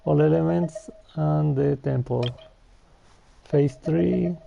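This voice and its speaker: A man giving casual, halting gameplay commentary and reading on-screen text aloud. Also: fairly high pitch, steady tone, creaky, warm tone, dull audio, a little hiss or hum. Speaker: video game streamer.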